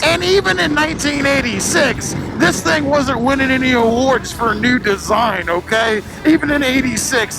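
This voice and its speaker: High-pitched joking man, motorcycle vlogger. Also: poor audio. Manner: self-deprecating, joking, slangy. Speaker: motorcycle vlog host. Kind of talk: casual vlog commentary.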